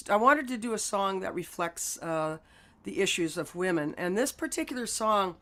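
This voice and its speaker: A woman's voice: mid-range voice, a bit bright. A singer-songwriter woman with a stuffy cold, speaking earnestly over a video call as she introduces a song. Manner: earnest, halting with fillers. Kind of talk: casual talk introducing a song.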